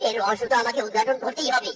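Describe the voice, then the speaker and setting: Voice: medium pitch, steady tone, racing, far from the mic, natural voice. An actor voicing a suspicious, indignant cartoon tiger uncle who demands answers. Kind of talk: cartoon voice acting.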